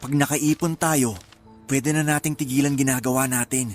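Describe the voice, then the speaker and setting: Man acting desperate husband, mid-pitched, coaxing, pleading, shameless, radio drama, soft music behind. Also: fairly even intonation, moderate pace, lightly acted. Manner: pleading, persuasive.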